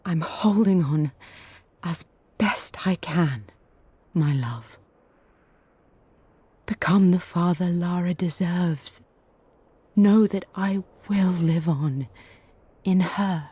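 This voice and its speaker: An actress playing a gentle, dying mother in a video game, tender, sad and weary in a soft, breathy voice. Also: fairly low pitch, dry recording. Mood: weary, frightened love. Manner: slow, tender, fragile. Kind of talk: intimate dramatic letter reading.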